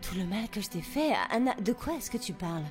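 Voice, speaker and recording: A woman playing a gentle young queen, a devoted and protective elder sister, in a French fandub of a fantasy drama. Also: mid-range voice, lively intonation, fairly quick, close to an everyday voice. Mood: hurt, disbelieving. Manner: earnest, soft-hearted. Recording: loud music under the voice.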